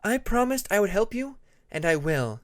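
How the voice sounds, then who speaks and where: A narrator voicing a young female dragon who is earnest and thoughtful, with a measured manner of explaining. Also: mid-range voice, sing-song, voice pushed up, close to an everyday voice. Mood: determined.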